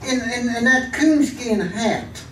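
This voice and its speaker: An actor playing an excitable, folksy frontier sidekick with a rural American accent, stammering and repeating his words in a radio western. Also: extremely high pitch, slow pace, full character voice. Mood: excited, amused. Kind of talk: old-time radio western acting. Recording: echoey, distant, noisy old radio recording.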